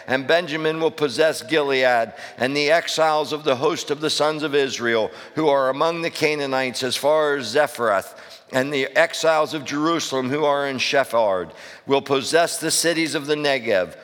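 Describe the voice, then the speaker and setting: A man preaching a loud sermon as pastor, hollering to the congregation and calling for amens, his voice going hoarse. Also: mid-range voice. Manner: hollering, call-and-response preaching. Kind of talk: fiery sermon. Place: church sanctuary. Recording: long reverb of a church hall.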